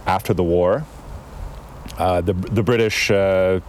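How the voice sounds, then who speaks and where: Deep-voiced male guest, rambling storyteller recorded outdoors, faint traffic behind him. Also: steady tone, slow. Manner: thoughtful, digressive storyteller with frequent fillers. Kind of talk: casual conversation. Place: outdoors in a town.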